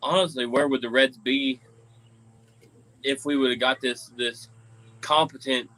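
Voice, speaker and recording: A man talks sports in an easygoing, agreeable way, his voice a little hoarse from cheering. His tone is bright and forceful, at a middle pitch. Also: steady tone, measured pace. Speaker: podcast co-host. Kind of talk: casual sports chat.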